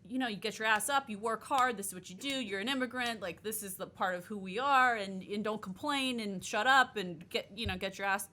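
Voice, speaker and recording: A woman's voice: mid-range voice, fairly quick, few pauses, a little hiss or hum. A woman in her thirties, a nutritionist guest in a casual chat, speaking insistently and animatedly. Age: 30s. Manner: animated, insistent, talks over others.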